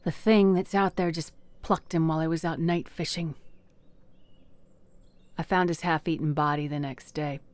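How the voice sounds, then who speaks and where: A woman's voice: low-pitched, steady tone, moderate pace, pauses often, no room sound, close to an everyday voice. A woman playing a blunt, plain-spoken peasant fisherwoman grieving her brother in a fantasy audio drama. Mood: guarded, sombre.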